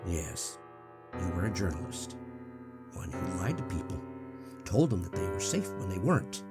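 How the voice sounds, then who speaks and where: A man's voice: low-pitched, very animated, normal speed. A man acting a taunting, venomous old murder suspect in a radio drama, with slippery, theatrical menace. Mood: accusing. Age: older. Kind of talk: audio drama acting in vintage radio manner. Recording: music laid under the voice. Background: plucked guitar music.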